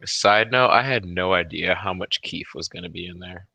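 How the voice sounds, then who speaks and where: A man with a very low but forceful, sharp-edged voice banters as a livestream co-host. He is blunt, slangy and sweary, recorded dry with no room sound. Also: normal speed, vocal fry, dry recording.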